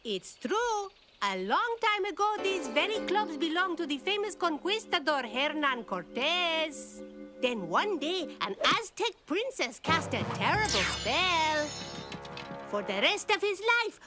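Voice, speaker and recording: A woman's voice: very high voice, sing-song, measured pace, over music, exaggerated voice. A woman voicing a theatrical child posing as a mysterious caddy with a put-on Spanish accent in a cartoon. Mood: ominous.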